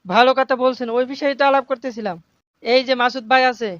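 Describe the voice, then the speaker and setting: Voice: high-pitched, steady tone, fast talker, background noise, close to an everyday voice. A performer voicing a friendly, curious male neighbour in cartoon dialogue. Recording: dull, lo-fi sound.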